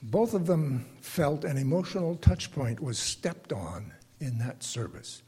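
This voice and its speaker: Husky, mellow man giving a reflective talk. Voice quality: self-described bass voice. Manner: deliberate, halting delivery with pauses. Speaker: congregation member giving a lay sermon. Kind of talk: reflective prepared talk to a congregation.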